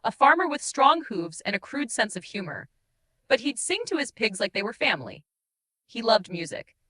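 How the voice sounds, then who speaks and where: A heartbroken shapeshifter played by a woman tells her story as an audiobook confession. Her voice is high and bright, with pitch that sweeps widely through wistful, guilt-laden lines and dramatic pauses. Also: very animated. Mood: fond. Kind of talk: dramatic first-person audiobook narration.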